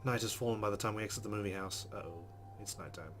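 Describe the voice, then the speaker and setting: A man's voice: on the low side, fast talker, over loud music. A man hosting a let's play, reading game narration aloud and adding casual commentary.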